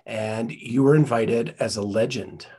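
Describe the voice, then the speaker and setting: A man's voice: mid-range voice, a little slow, warm tone, husky. A man hosting a podcast, asking questions with friendly enthusiasm into a studio mic in a home studio. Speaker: podcast host. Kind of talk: casual interview. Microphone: studio mic in front.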